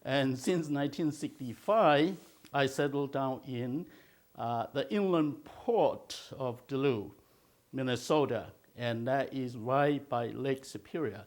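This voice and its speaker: An older man from China, raised in Malaysia, teaching a watercolor technique in a slow, halting way with many filler sounds. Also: medium pitch. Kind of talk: instructional video talk.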